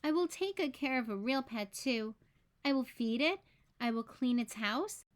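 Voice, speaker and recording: A woman's voice: high-pitched, expressive, normal speed. A woman voicing an eager, polite young boy in a children's picture book, speaking earnestly and simply.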